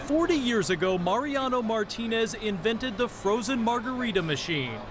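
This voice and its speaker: A male correspondent reading a scripted news feature in a measured storytelling manner. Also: very high voice, expressive, over music.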